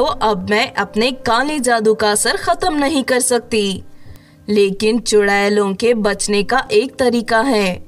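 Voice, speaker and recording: A woman's voice: medium pitch, lightly acted. A woman voicing a vengeful, stern forest sorceress in a cartoon story.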